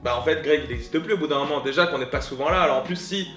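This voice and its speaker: A man speaking as an interview guest who talks fast and jumps in with loose slang. His voice sits slightly high, and the room has a clear echo. Faint music sits behind him. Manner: quick, chiming in, casual slang. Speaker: guest, co-creator. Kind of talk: casual interview conversation.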